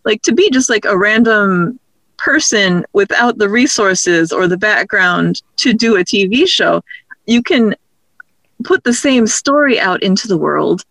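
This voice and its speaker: A woman's voice: medium pitch, lively intonation, unhurried, fairly bright tone, no echo, poor audio. A woman interviewed as a writer, chatting informally and tentatively as she thinks aloud. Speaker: audio drama writer, interview guest. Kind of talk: casual interview chat.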